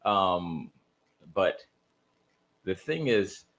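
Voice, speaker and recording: A man giving his views casually as a radio guest, in a hesitant, halting way. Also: low-pitched, animated, a bit bright. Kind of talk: casual chat.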